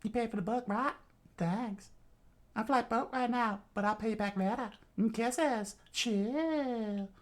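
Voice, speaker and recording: A woman's voice: a little high, unhurried, voice pushed up, close to an everyday voice. A woman voicing an easygoing, indulgent foster mother with a breezy, protective manner in an acted story. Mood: breezy teasing.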